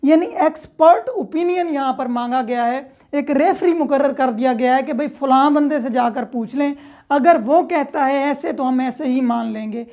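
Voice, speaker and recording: High-pitched Pakistani woman teaching. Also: moderate pace, dark tone. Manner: conversational teaching with frequent check-in tags. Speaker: law lecturer. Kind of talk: explanatory lecture with worked examples.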